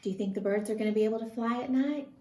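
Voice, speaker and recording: A woman's voice: fairly high pitch, very fast, warm tone, roomy sound. A woman reading a storybook aloud expressively for children.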